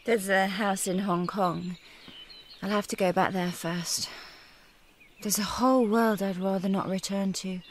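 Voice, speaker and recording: An actress playing a grieving young widow in a radio drama, solemn and contemplative. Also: female voice, medium pitch, steady tone, a little slow, a little hiss or hum, lightly acted. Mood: resigned.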